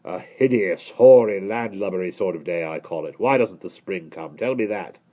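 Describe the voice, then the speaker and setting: A man's voice: sits low, moderate pace, narrow, tinny audio, voice pushed up. A man voicing a blustering old admiral who roars his lines. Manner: roaring, blustery.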